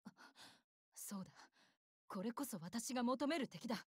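A woman's voice: fairly high pitch, lively intonation, normal speed, darkish tone, husky, dry recording, clean studio sound. An actress playing a proud, battle-hungry young warship girl in an anime game, speaking in a blunt, self-assured, tomboyish way. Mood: fierce, battle-eager. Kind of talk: Japanese anime game voice lines.

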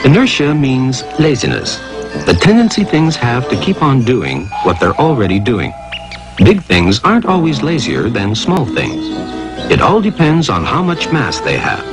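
A man's voice: mid-range voice, huge pitch swings, unhurried, over music. A man narrating an educational cartoon from a script in a theatrical, emphatic way, posing rhetorical questions.

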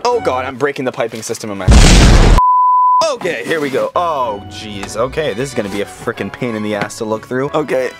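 A man's voice: mid-range voice, expressive, a little fast, over loud music. A man chats casually and playfully as a vlog host, exclamatory and theatrical. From Canada. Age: 20s. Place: indoors, bedroom and cramped basement crawl space.

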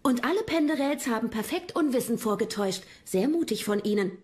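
An actress playing a poised, knowing royal mistress in a historical docudrama, speaking in a courtly manner. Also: female voice, fairly high pitch, animated, brisk, darkish tone, breathy edge, no echo. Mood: knowing, composed. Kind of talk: German historical docudrama acting.

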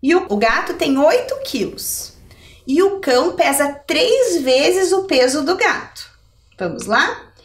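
A middle-aged woman explains things step by step as a teacher. Her voice is high and swings widely in melody, and she keeps checking in with questions. The sound is roomy, as if she stands a little way from the microphone. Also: far from the mic. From Brazil. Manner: didactic, checking in with rhetorical questions. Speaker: adult education maths teacher. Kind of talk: televised lesson, explaining step by step. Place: indoor TV lesson studio. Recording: roomy echo, somewhat off the mic.

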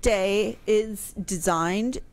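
A woman's voice: medium pitch, drawn-out words. A middle-aged American woman hosting a casual radio talk show, speaking close to the microphone. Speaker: radio show host answering history questions. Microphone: close microphone on boom arm, headphones.

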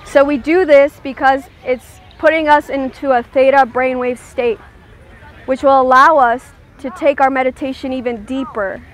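A woman leading a guided meditation, giving gentle, soothing instructions and checking in with her listeners. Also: high-pitched, steady tone, normal speed.

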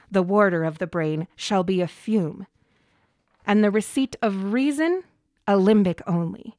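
A woman's voice: medium pitch, dry recording, clean studio sound, lightly acted. An actress playing a ruthless, ambitious noblewoman, goading and commanding in Shakespearean verse for a radio drama. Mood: scheming. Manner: scornful, commanding verse delivery. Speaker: actor.

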